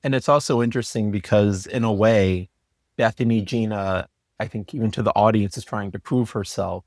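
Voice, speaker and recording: A man's voice: on the low side, fairly even intonation, moderate pace, a little mellow, dry recording, studio quality. A man chatting casually as an interview guest, explaining things as a story editor would.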